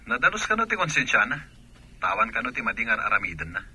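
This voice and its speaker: Forceful, raspy hot-tempered Filipino soldier. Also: male voice, medium pitch, wildly swooping pitch, fast, exaggerated voice. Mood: bitter. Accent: Ilocano Filipino. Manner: forceful, accusing. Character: jealous hot-tempered soldier, accusing husband and doting son. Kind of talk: radio drama acting.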